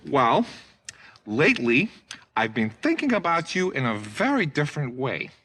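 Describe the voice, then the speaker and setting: A man's voice: medium pitch, big pitch swings, moderate pace, bright, forceful tone. An actor playing a camp, lustful male alien warrior with a flamboyant, suggestive delivery on sci-fi television. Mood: lustful, coy. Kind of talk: campy science fiction television acting.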